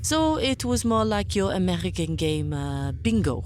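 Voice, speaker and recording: A woman's voice: fairly low pitch, sing-song, unhurried, faint music behind. A woman playing a curious female scientist who speaks formally and precisely in an audio drama.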